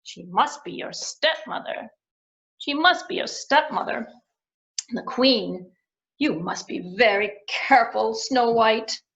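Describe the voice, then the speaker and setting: A woman's voice: fairly high pitch, slow pace, a bit bright. Woman doing fretful little storybook dwarves. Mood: warning. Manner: fretful, exclaiming. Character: fussing, kind-hearted little dwarves. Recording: dull lo-fi recording.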